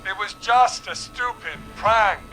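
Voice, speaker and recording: Casting: cornered hostage, self-justifying and evasive, higher-pitched male voice, slow halting delivery, horror game. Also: bright, forceful tone, soft background music, exaggerated voice, poor audio. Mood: exasperated. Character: trapped, defensive, self-justifying man held by a killer. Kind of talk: scripted game voice acting.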